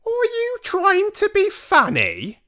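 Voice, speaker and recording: Storybook role: haughty prim wife and mother, male reader performing, very high pitch, drawn-out disdain. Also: wildly swooping pitch, fairly bright tone, exaggerated voice. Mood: prim, disdainful. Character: prim, dismissive middle-aged mother.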